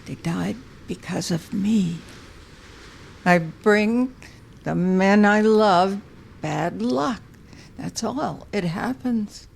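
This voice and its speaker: A woman playing a moody, guilt-ridden, fragile widow in an audio drama, halting and hushed, often slipping into whispers. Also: medium pitch, vocal fry, a little hiss or hum. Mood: fearful, guilty.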